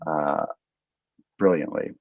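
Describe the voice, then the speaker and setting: A man hosting a panel discussion over a video call, speaking haltingly and with appreciation for his guests. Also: a bass voice, fairly even intonation, dry recording, phone-quality sound. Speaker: host and moderator of a panel.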